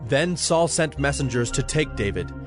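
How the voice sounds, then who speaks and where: A man's voice: mid-range voice, lively intonation, normal speed. A man narrating scripture from a script as the narrator of a dramatized audio production. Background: music under the voice.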